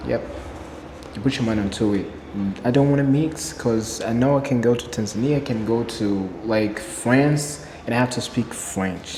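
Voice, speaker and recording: A man from Burundi, a Kirundi native, talks through his answers in a fairly low voice, earnestly circling back to his main points. The room is echoey with a long reverb tail, and a faint hiss sits underneath. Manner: earnest, repeats key phrases for emphasis. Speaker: interview guest, English learner. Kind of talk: casual interview answers.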